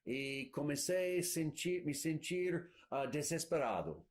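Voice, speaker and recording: A man's voice: fairly high pitch, lively intonation, measured pace. A man interpreting a sermon live in a church, searching for words with restarts and fillers.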